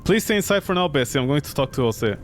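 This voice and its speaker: Man reading as a calm, measured private investigator. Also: fairly high pitch, soft background music, natural voice. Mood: calm, probing.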